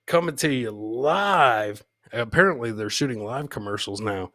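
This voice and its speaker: A man's voice: mid-range voice, animated, moderate pace, sharp tone, no room sound, clean studio sound. Rambling, sweary man hosting a comedy podcast. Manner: rambling, swearing, showman-like addresses to audience. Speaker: podcast host. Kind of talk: casual live show banter.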